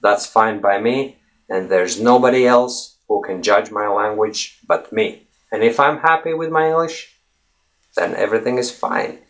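A man whose first language is Russian, talking casually and encouragingly to learners about speaking English, with frequent pauses and repeated phrases. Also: fairly low pitch. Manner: relaxed, conversational, many "uh" pauses, repeats key phrases. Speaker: English fluency coach. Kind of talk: casual spoken lesson to viewers.

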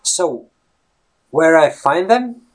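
A man's voice: on the high side, lively intonation, slow. A man whose first language is Latvian, coaching English in a casual talk-to-camera vlog. He rambles off topic and keeps checking in with "right?" and "okay?". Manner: rambling, veers off topic, asks "right?" often.

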